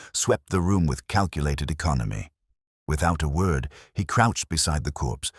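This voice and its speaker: A man's voice: rumbling low, slightly rough, no echo, studio quality. A man reading scripted mystery fiction as a dramatic audiobook narrator.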